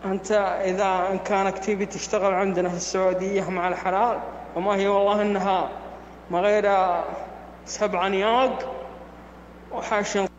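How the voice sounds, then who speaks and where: A man's voice: high-pitched, little pitch movement, fairly quick, roomy sound, big-room sound, highs cut off, slight background noise, lightly acted. A man putting on a Saudi Bedouin accent to play a bossy, teasing Saudi man in a prank voice message. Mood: gruff questioning. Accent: put-on Saudi Bedouin Arabic. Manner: teasing, questioning, folksy.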